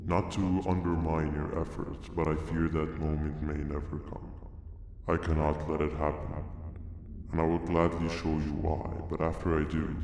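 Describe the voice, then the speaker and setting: A man playing a weary, calmly menacing monster who rules an underground facility, speaking solemn, formal monologues in a video game. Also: a bass voice, a little hiss or hum. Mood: grave, warning. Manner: slow-building, solemn, formal monologue delivery. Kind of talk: video game cutscene acting. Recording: echoey reverberant hall under loud music.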